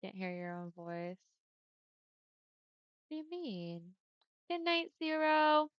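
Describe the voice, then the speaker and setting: A young woman livestreaming from home and chatting with her viewers in a friendly, easygoing way, often laughing. Her voice is smooth and on the deeper side for a woman. The sound comes through a dull headset mic with a dry, close feel. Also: big pitch swings, takes it slowly, no echo. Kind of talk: casual chat with viewers.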